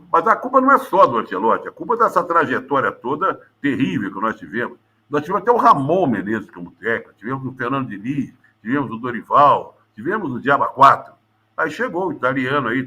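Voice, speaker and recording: A Brazilian man giving an exasperated, emphatic opinion in a studio sports debate, with loud bursts and low mutters. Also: husky. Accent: Brazilian Portuguese. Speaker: sports pundit.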